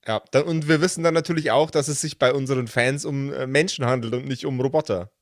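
A man's voice: medium pitch, fast talker, no echo, studio quality. A man co-hosting a podcast, chatting casually in a playful, informal way. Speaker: podcast co-host.

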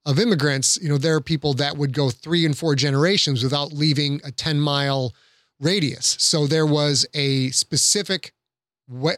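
Man, slightly high voice, thoughtful commentary, conversational, very little room sound. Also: steady tone, moderate pace, a bit bright, no room sound, studio quality. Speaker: podcast guest.

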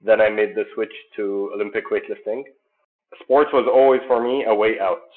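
Male interviewee from Jordan, low register, earnest and measured, thin phone-line audio. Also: fairly even intonation. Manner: earnest, measured, many fillers. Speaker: sports program founder. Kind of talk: interview answers.

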